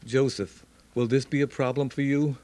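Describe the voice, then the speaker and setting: A man's voice: medium pitch, fairly even intonation, moderate pace, darkish tone, no room sound, natural voice. A man playing an earnest, devoted scientist and caring son in an old-time radio drama. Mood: pleased. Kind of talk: Golden Age radio drama acting.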